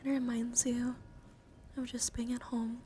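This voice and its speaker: A woman livestreamer giving a guided ASMR relaxation in a gentle, soothing, encouraging manner. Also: on the high side, flat delivery, unhurried, a little hiss or hum. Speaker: livestream host.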